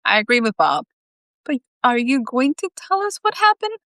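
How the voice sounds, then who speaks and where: A woman's voice: high-pitched, wildly swooping pitch, normal speed, bright, forceful tone, no echo, clean studio sound, pitched above the performer's own voice, exaggerated voice. A woman voicing a bored, bossy male cat boss with a blunt, teasing manner in a story. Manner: blunt, teasing, matter-of-fact.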